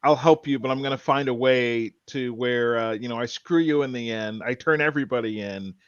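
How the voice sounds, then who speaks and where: A man hosting a casual TV discussion podcast, speaking haltingly and repeating words as he thinks. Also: medium pitch, smooth, dry recording, muffled recording. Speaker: podcast host.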